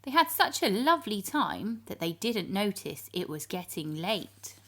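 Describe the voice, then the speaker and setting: A woman reading a storybook to young children in an animated, expressive manner, addressing her listeners directly. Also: medium pitch, a little slow.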